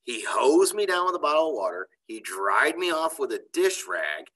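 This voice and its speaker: Guy in his 40s, teacher doing a kids book read aloud, bright voice, really animated pitch. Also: normal speed. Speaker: elementary school teacher reading aloud. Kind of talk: expressive children's storybook read-aloud. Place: bright indoor school room. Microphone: computer webcam on a video call.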